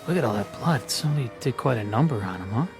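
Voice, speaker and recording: Male actor inquisitive policeman, conversational, wry, mid pitch, radio drama, clear background music. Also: lively intonation, fast talker, close to an everyday voice. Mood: curious, wry.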